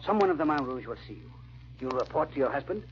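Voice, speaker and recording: An actor playing a grave, determined baron bent on vengeance, speaking in a measured, formal, earnest old-time radio style. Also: male voice, medium pitch, animated, lightly acted. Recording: dull, band-limited old radio recording.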